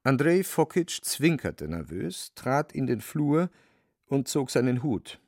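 A man narrating a literary radio drama in a measured, wry storytelling manner. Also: mid-range voice, big pitch swings, darkish tone, dry recording, studio quality.

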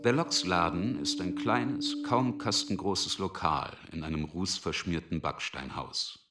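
A man's voice: deep voice, a little slow, very noisy. A man narrating a scripted radio drama in a pointed storytelling manner.

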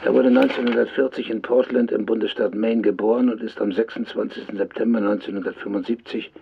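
A man's voice: on the low side, steady tone, a little fast, vocal fry, slightly rough. A man playing a matter-of-fact police sergeant reporting findings in a businesslike way in a German radio drama. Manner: businesslike, factual. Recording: dull, slightly noisy radio-like line.

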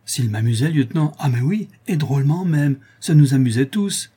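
A man's voice: mid-range voice, expressive, moderate pace, mellow, husky, pitched above the performer's own voice. Man voicing an eager, plain-spoken sailor spinning yarns. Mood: eager, insistent. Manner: colloquial, exclamatory, repetitive storytelling. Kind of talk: acted audiobook character dialogue.

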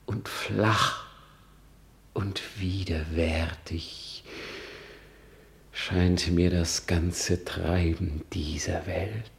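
An actor playing a grieving, bitter young prince in a classical radio drama, with deliberate declamation that moves between hushed and forceful. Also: male voice, sits low, vocal fry, full character voice. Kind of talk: classical German stage-verse radio drama acting.

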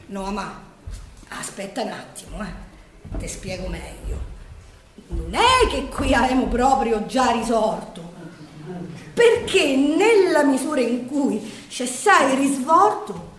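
A woman's voice: on the high side, very animated, slow pace. An actress playing a weary, earthy working-class Roman woman, telling her story in a colloquial theatrical monologue with a Roman accent. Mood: wry, reflective. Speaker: stage actress. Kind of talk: theatrical dialect monologue in verse. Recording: roomy, distant stage recording.

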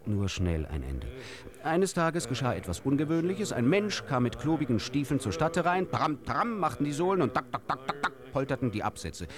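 A man narrating absurd short tales for a radio drama in a theatrical, expressive way. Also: medium pitch, normal speed, crowd noise. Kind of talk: scripted radio storytelling.

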